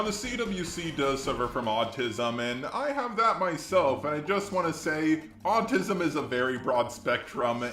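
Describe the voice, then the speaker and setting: A man's voice: medium pitch, fairly bright tone. A man's synthetic robotic voice delivering scripted video commentary. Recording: echoey, music underneath. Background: background music.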